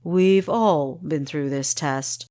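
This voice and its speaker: A woman voicing an amused, evasive male spy leader with a deep, gritty voice for an audiobook. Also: slow pace, dark tone.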